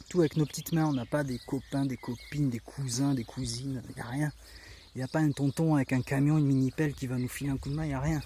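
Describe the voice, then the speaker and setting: A middle-aged man with a southern French accent from the Var talks easily and chattily, voice sitting at an ordinary mid pitch. He is filming himself outdoors in the countryside on a handheld camera. Faint birdsong and rural ambience drift in behind him. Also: slight background noise. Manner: chatty, relaxed, frequent fillers. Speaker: vlogger. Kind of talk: casual walk-through tour.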